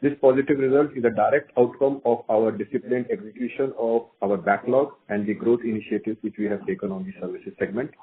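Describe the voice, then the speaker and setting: A man's voice: on the low side, steady tone, a little fast, few pauses. A male executive giving formal closing remarks on a company conference call. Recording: noisy narrow phone line, slight hiss.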